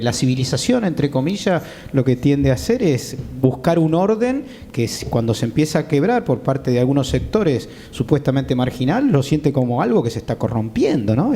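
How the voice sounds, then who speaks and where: A man with an Argentine accent moderating a conversation, discursive, repeating words and adding little checking tags. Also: mellow. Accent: Argentine Spanish. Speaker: host, moderator. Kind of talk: conversational interviewing. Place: theatre stage. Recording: clear background noise with low rumble.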